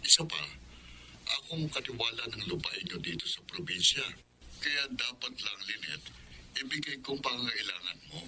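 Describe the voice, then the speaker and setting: An actor playing a caring older uncle in a Filipino radio drama, heard quietly as if on a phone call. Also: male voice, mid-range voice, lively intonation, normal speed, noisy background. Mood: concerned, kindly. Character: caring older uncle, a provincial land caretaker.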